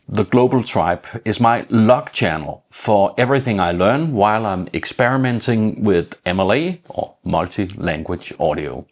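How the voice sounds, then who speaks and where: A middle-aged Danish man speaks English with a Danish accent in a low voice. He explains things in a measured, plain way, like an informal vlog host talking through his notes. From Denmark. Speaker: channel host.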